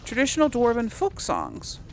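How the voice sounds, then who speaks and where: A woman's voice: on the high side, huge pitch swings, moderate pace, slight background noise. A woman hosting a gaming video with casual commentary, often muttering to herself hesitantly as she talks to viewers. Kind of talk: casual game commentary, talking to the audience.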